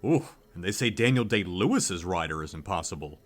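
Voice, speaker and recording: Vain theatrical spy: grandiose, widely swooping delivery for audio comedy, reverberant space. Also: male voice, sits low, animated, a little fast. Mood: wry, pleased. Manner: grandiose, dramatic. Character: vain, theatrical male actor turned spy, hammy. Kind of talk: comedy audio drama acting.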